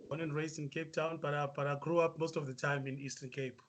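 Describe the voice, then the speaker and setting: A South African musician answering interview questions, hesitant with many fillers. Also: male voice, on the high side, level tone, brisk. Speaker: musician guest.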